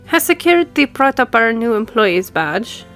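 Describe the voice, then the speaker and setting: A woman's voice: a little high, sing-song, moderate pace, a bit bright, soft background music. A woman playing a friendly, businesslike law firm boss in an audio roleplay, speaking conversationally to the listener. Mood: brisk, helpful. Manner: businesslike, conversational, lightly teasing. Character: brisk, friendly female law firm boss giving instructions.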